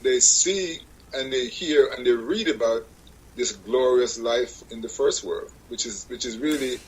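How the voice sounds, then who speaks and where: A man's voice: mid-range voice, a little slow, slightly rough, poor audio. A man from Jamaica, a guest on a radio show, telling his life story in a rambling way, correcting himself and laughing at his own jokes. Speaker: guest musician. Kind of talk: casual interview conversation.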